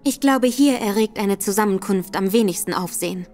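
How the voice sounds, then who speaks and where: A woman's voice: medium pitch, normal speed, darkish tone, faint music behind, close to an everyday voice. A woman playing a self-assured undercover detective. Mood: confident. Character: confident, cunning female undercover detective.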